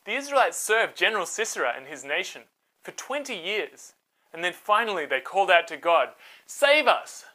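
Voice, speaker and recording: A woman narrating a scripted children's Bible story in a dramatic, expressive way. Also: on the low side, a little fast, low-quality recording.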